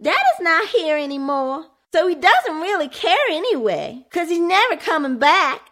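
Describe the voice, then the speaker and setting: A woman's voice: extremely high pitch, sing-song, moderate pace, fairly bright tone, pitched above the performer's own voice, full character voice. A woman voicing an eight-year-old girl who whines and screeches back at her brother. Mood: whiny. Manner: whining, screeching. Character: young girl, eight, whiny and defiant.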